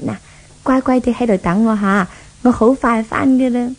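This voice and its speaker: A woman's voice: a little high, normal speed, slight background noise, lightly acted. A woman acting a spoiled, scheming rich young bride-to-be in a 1970s Hong Kong Cantonese radio drama, coaxing and possessive in manner. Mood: wheedling, smug. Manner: coaxing, wheedling.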